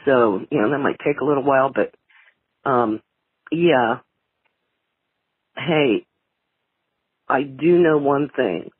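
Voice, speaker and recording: A male caller rambles casually over a narrow, tinny phone line. His delivery is slow and halting, with drawn-out words and a husky edge. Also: mid-range voice, no room sound. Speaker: caller leaving a message.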